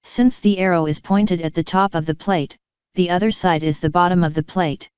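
A woman instructor narrates a scripted technical lesson in a calm, even, measured manner. Her voice is slightly low and very clear and clean. The recording is dry and close, with no room sound. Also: no echo. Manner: measured, even explanatory delivery.

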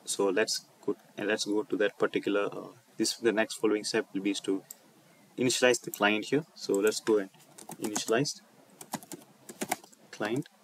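A man presenting an unscripted coding walkthrough over a screen recording, speaking haltingly and often repeating and rephrasing his phrases. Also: lowish voice, fairly even intonation, slight background noise. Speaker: tutorial presenter.

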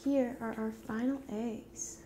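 A woman in her twenties doing a soft-spoken ASMR roleplay to the listener, gentle and hushed, drifting into whispers. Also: on the high side, fairly even intonation, slow pace, a little hiss or hum. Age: 20s. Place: dim indoor room at a table.

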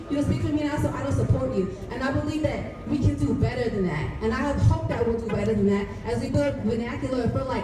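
A woman with a Californian accent speaks quickly and earnestly at an outdoor rally. Her high voice comes through a PA with heavy echo, picked up from out among the listeners. She is impassioned and slips easily into slang. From San Jose, California, United States. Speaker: community organizer and poet. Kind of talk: public rally speech. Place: outdoors on the steps of a large civic building. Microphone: handheld mic through PA, recorded by distant audience camera. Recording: echoey outdoor PA heard from far off.

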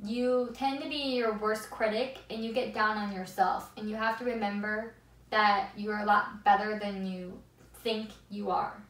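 A young woman with a squeaky voice chatting enthusiastically to the camera in a casual vlog, seated in a small room. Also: steady tone, moderate pace, fairly bright tone. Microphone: far camera. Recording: echoey room, mic at a distance.

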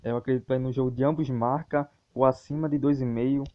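A man's voice: mid-range voice, fairly even intonation, fairly quick, muffled recording, slight background noise. A man with a Brazilian accent hosting football tips, talking informally and directly to his viewers in a casual commentary style. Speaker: host of a football betting tips channel. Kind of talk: casual sports tips commentary over a screen recording.

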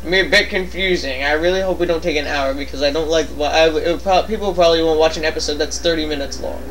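A man's voice: fairly high pitch, fairly even intonation, quick pace, fairly bright tone, a little hiss or hum, poor audio. A man bantering casually over a video game, playful and given to silly exclamations. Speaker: let's play co-commentator.

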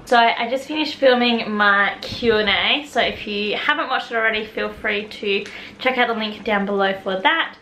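A young Australian woman talks straight into a handheld camera, rambling cheerfully through her day with plenty of fillers. Her voice sits at a middle pitch for a woman. Steady background noise runs under the whole recording. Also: moderate pace, low-quality recording. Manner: chatty, upbeat, rambling with fillers. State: out of breath after a workout. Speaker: vlogger. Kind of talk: casual vlog chat. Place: home kitchen and bedroom, also a gym. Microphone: handheld camera at arm's length.

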